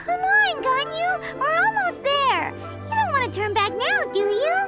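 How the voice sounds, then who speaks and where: A woman's voice: very high voice, sing-song, measured pace, over loud music, exaggerated voice. A woman voicing a tiny, childlike fairy companion in a video game, perky and blunt. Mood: cheerful, encouraging.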